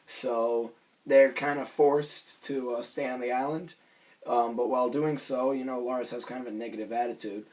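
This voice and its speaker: A hoarse young man with a raspy voice, rambling casually through a self-conscious vlog with many fillers and restarts. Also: mid-range voice, fairly even intonation, normal speed, a little mellow, rough recording. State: hoarse from screaming. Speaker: vlogger reviewing a cartoon episode.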